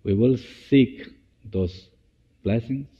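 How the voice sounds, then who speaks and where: A man leading a guided meditation as a teacher, speaking in halting short phrases and restarting as he goes. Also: medium pitch, slightly rough. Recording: dull, echoey hall-like sound.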